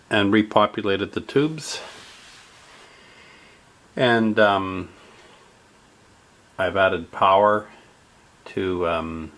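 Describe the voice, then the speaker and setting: Man, low voice, very slow, halting repair narration with lots of ums. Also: a bit bright. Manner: slow, halting, with many fillers. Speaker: radio repair hobbyist.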